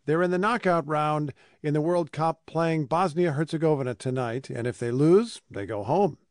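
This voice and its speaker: A man's voice: a little high, normal speed, no echo, studio quality. An American man hosting a radio news programme, presenting and interviewing in a conversational broadcast style. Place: radio studio. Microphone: close studio microphone.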